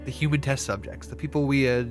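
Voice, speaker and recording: A man playing a gentle, encouraging therapist who speaks haltingly and patiently in a naturalistic audio drama. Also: mid-range voice, music in the background, lightly acted. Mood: tentative, hopeful. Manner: hesitant, stammering, patient. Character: gentle, hesitant, encouraging therapist in a secret facility.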